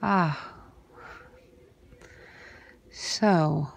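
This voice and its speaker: A woman playing a cold, sardonic vampire crime boss in an ASMR roleplay, breathy and husky, often sinking into a whisper with a deliberate, menacing drawl. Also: sits low, heavily acted, low-quality recording. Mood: mock-sweet menace. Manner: slow, deliberate, menacing drawl with long pauses.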